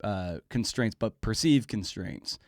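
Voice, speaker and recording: A man hosting a podcast interview in a casual way, haltingly repeating words as he builds his point. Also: fairly low pitch, expressive, a little mellow, no room sound, studio quality. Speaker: podcast host interviewing an author.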